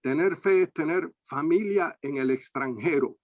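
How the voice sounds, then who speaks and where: A man's voice: on the high side, measured pace, no room sound, clean studio sound. An older man from Cuba, a guest on a discussion panel. He tells anecdotes with a witty turn and plays with words. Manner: witty, anecdotal, fond of wordplay.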